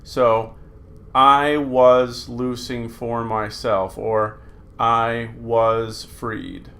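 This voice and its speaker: A man teaching a recorded New Testament Greek lesson, talking through slides in an unhurried way with many fillers. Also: medium pitch, flat delivery, fairly bright tone.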